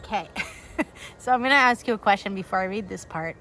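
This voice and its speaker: A woman reading a storybook aloud like a teacher, expressive and openly emotional, with casual asides to her listeners. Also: mid-range voice, a little fast, a little hiss or hum, low-quality recording.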